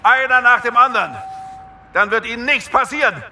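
An actor playing a commanding police officer in a German audio drama, giving clipped, barked orders. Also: male voice, extremely high pitch, a little fast, exaggerated voice. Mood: commanding, tense. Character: commanding British police officer leading a siege. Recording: loud action noise behind.

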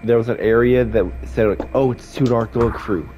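A man's voice: mid-range voice, steady tone, a little fast, warm tone, highs cut off, slight background noise. A man with a stuffed-up nose, casually playing a game and reading its dialogue aloud. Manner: reads character lines in his own voice, chatty asides, congested. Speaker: gaming YouTuber.

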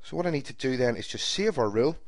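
A man giving a screen-recorded software tutorial, explaining each step in a steady, methodical way. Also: medium pitch, quick pace, dry recording, clean studio sound. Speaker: software trainer. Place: voice-over recorded for a screen capture.

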